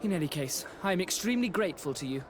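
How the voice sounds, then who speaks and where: An actor playing a haughty, foppish young aristocrat with affected, flowery politeness in a video game. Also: male voice, high voice, lively intonation, very fast. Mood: haughty, breezy. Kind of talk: video game cutscene acting. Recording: clear outdoor ambience noise.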